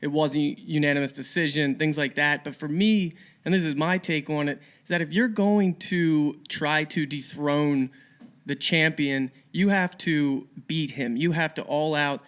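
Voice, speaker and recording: A man co-hosting a sports podcast, giving casual fight analysis in an earnest, measured way. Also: a little high, a bit bright, smooth. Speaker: boxing podcast co-host.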